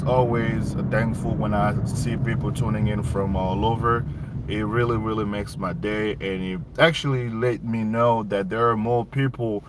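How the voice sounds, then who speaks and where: A man from the Democratic Republic of Congo, chatting casually to livestream viewers and repeating phrases for emphasis. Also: medium pitch. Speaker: livestream host. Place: inside a car while driving. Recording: loud car and road noise. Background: engine and traffic noise.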